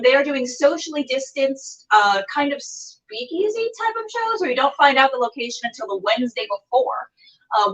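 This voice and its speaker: A woman with a high voice hosts a casual online show. She is effusive and gushing as she chats and reads out announcements. The voice sits at a distance from the microphone. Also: fairly bright tone. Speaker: show host. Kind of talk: casual livestream chat and announcements.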